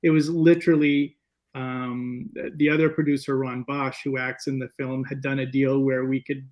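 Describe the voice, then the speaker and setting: Guy guest on an interview, normal pitch, rambly casual stories, kinda halting and repeating himself. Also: little pitch movement, darkish tone. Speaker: filmmaker guest.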